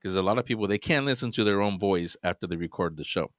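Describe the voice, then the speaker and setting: A man's voice: low-pitched, quick pace, dry recording, clean studio sound. A man guesting on a podcast, giving earnest advice in casual chat, often restarting his sentences.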